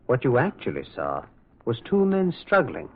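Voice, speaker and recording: A man acting a gentle, shrewd priest detective in a radio drama, musing and insistent, repeating himself as he probes. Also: medium pitch, huge pitch swings, moderate pace, slightly rough, exaggerated voice. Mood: gentle, probing. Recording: lo-fi, narrow-band old radio sound.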